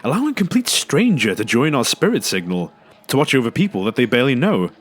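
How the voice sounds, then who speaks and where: A man's voice: mid-range voice, very animated, fast talker. An actor playing a courteous, inquisitive family man, speaking politely and tentatively in an audio drama. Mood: curious, probing. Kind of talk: naturalistic audio drama dialogue.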